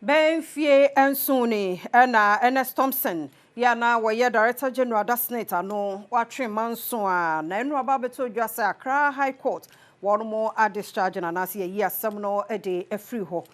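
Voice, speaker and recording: A woman's voice: fairly high pitch, normal speed. A woman with a Ghanaian accent presenting scripted television news.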